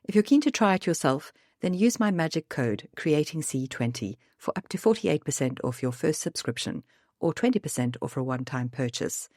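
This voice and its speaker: Woman podcast host, a touch gravelly today, upbeat scripted read, dry close sound. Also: low voice, very animated, normal speed, darkish tone, no echo. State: slightly gravelly voice.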